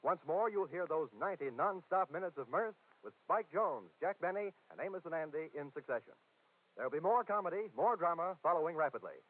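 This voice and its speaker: A man's voice: on the high side, animated, a little fast. A man announcing in a polished, promotional, scripted 1940s network radio style. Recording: tinny 1940s radio recording.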